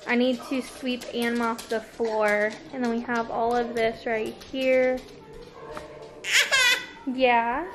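A woman's voice: on the high side, flat delivery, takes it slowly, long pauses. A young woman filming a casual cleaning vlog in her family home, chatting to the camera and to her small kids. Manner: chatty, talks to her toddler and baby. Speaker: vlogger. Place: family house, kitchen and living room. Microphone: handheld camera, often held close.

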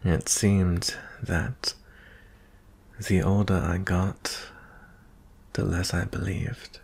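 A man playing a gentle, weary young prince in a fantasy ASMR roleplay, speaking softly and intimately in a breathy, husky voice with frequent pauses. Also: low voice, fairly even intonation, heavily acted. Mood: wistful. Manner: slow, hushed, pausing often.

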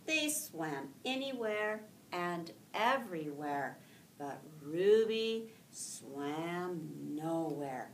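A middle-aged woman, a teacher reading a picture book aloud to children in an expressive, deliberate storytelling way, with drawn-out words. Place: school classroom, indoors. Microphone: camera a few feet away. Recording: echoey room, distant mic, faint rumbling noise.

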